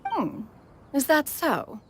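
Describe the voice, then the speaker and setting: A woman's voice: high voice, huge pitch swings, slow, a bit bright, slight background noise, exaggerated voice. A woman voicing a haughty, dignified ancient bird immortal in human form for a fantasy game, speaking in formal, archaic, imperious phrases. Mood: composed. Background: music.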